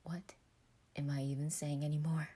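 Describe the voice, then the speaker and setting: A woman's voice: sits low, flat delivery, normal speed, mellow, husky. Woman playing a nervous, muttering, self-doubting man. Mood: anxious. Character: anxious, self-doubting man putting on bravado.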